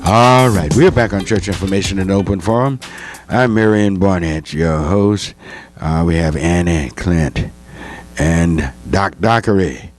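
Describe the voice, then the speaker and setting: An older man with a drawl hosts a call-in radio show, speaking into a studio mic in a folksy, enthusiastic way. His voice sits low. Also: measured pace, faint music behind. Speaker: radio talk show host. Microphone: studio mic on stand, headphones.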